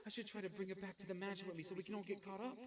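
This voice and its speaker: A woman voicing a confused, awkward gentleman with a British accent in an animated comedy mystery. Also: mid-range voice, racing, a crowd in the background, close to an everyday voice. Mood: awkward, subdued. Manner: blunt, awkward, easily flustered.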